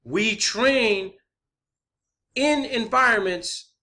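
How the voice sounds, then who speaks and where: A man's voice: sits high, extremely slow, bright, forceful tone. A man giving an informal, opinionated monologue in an emphatic, argumentative way, peppered with swearing. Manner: emphatic, profanity-laced, argumentative. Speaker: online video presenter.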